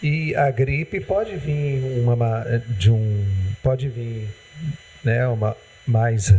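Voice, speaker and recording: A man's voice: mid-range voice, slow pace, mellow, slight background noise. Hesitant Brazilian male TV host. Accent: Brazilian Portuguese. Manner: rambling, hesitant, relies on "né". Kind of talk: interviewing a guest on a community TV health segment. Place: TV studio.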